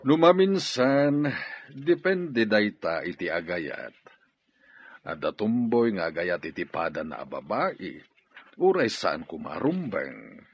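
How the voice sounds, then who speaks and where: Audio drama role: philosophizing jovial boss, Ilocano accent, swooping husky voice, dullish recording. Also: male voice, on the low side, very animated, slow, muffled recording, heavily acted. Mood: musing, amused. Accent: Ilocano Filipino. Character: male boss, philosophizing about love, jovial. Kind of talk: Ilocano radio-style drama acting.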